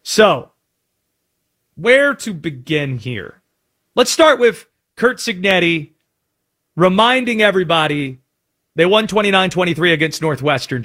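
A man with an American accent hosting sports talk in an emphatic, animated way, often asking rhetorical questions. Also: high-pitched, normal speed. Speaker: sports talk show host.

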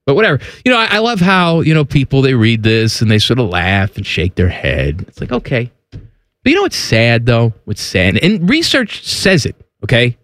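A man's voice: mid-range voice, wildly swooping pitch, normal speed, fairly bright tone, no room sound. A man hosting a casual sports talk show, mocking and sarcastic in tone.

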